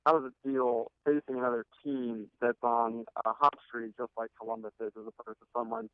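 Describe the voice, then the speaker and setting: A man's voice: medium pitch, fairly even intonation, brisk, smooth, dry recording. A man asking a composed press question as a reporter over a telephone line. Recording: dry, narrow telephone line.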